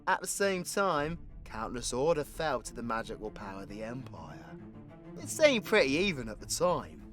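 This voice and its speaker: A man's voice: mid-range voice, sing-song, normal speed, fairly bright tone, faint music behind. A man with a British accent playing a cocky, foul-mouthed, sarcastic supervillain who tells stories in an audio roleplay. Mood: storytelling, wry. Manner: cheeky, sweary, colloquial, theatrical swings into exclamation. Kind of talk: villain audio roleplay, rambling comedic storytelling.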